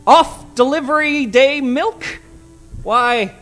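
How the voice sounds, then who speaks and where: A woman's voice: high-pitched, takes it slowly, a bit bright, faint music behind. A woman playing a fussy, easily flustered professor in a melodramatic old-time radio parody. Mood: puzzled, deducing.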